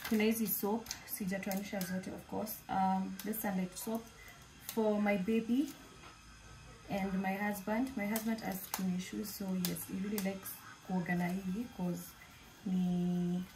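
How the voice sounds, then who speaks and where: A woman's voice: mid-range voice, unhurried, faint music behind, low-quality recording. A young woman with a Kenyan accent chatting casually and animatedly in her home kitchen, filmed close on camera. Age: young adult. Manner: chatty and animated, mixing English with Swahili. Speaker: vlogger. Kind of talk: casual shopping haul chat. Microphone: camera in front at close range.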